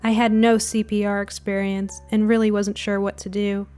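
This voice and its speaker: A woman's voice: mid-range voice, flat delivery, moderate pace, a little mellow, smooth, soft background music. A woman reading a scripted first-person spooky short story as its narrator.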